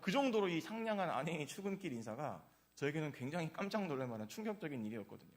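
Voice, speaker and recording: A man's voice: on the high side, big pitch swings, racing. Man preaching an anecdotal morning sermon. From South Korea, grew up in Gyeongsang region. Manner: conversational storytelling with frequent "uh" fillers. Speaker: pastor. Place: church.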